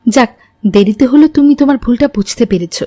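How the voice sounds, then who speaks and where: A woman's voice: fairly high pitch, quick pace, dark tone, close to an everyday voice. A woman voicing a concerned, reproving mother in a moral cartoon story.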